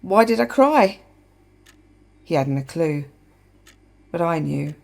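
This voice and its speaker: A woman's voice: lowish voice, animated, slow, vocal fry, darkish tone, exaggerated voice. Actress as a wry, defiant woman in radio drama. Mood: anguished confession. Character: adult woman, wry, sharp, defiant, confessing to her partner. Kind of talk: naturalistic radio play acting, dialogue and monologue.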